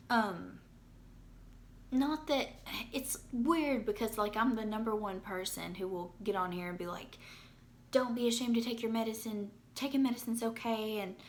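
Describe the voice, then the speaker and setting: A young woman with a hoarse voice from being sick, talking casually into her phone in a rambling personal update with many ums and restarts. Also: fairly high pitch. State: hoarse from illness. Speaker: vlogger. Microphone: phone propped up.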